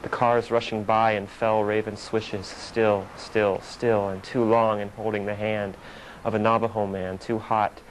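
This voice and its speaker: A man's voice: on the low side, little pitch movement, a little slow, a little hiss or hum, low-quality recording. A man reading his own poem in short, broken, repeating phrases, like an incantation. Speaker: poet. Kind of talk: poetry reading.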